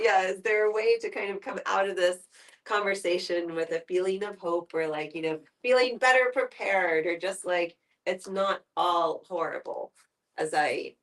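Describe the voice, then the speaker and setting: Female moderator, slightly low voice, informal and hesitant phrasing, caught at some distance. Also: creaky. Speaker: host moderating a discussion. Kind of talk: casual group discussion. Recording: distant mic in group discussion.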